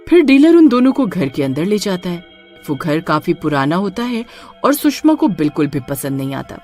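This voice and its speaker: Lively female horror story narrator. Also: on the low side, moderate pace, faint music behind.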